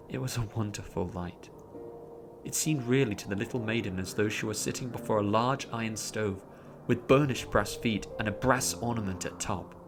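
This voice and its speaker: Guy reading an audiobook story, lowish voice, theatrical and expressive, music clearly playing behind. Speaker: audiobook narrator. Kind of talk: dramatic scripted story narration.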